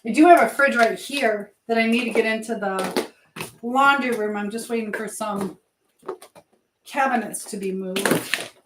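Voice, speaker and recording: A woman's voice: a little high, unhurried, pauses often. A woman chatting casually and thinking aloud in a rambling way, as a livestream host busy in her home kitchen. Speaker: livestream host, language teacher. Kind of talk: casual live chat while cooking. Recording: roomy echo, clear background noise.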